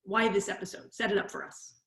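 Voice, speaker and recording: A woman with a medium-pitched voice moderates a casual interview. She is on a video call, and the room around her voice is noticeably echoey. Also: little pitch movement, fast.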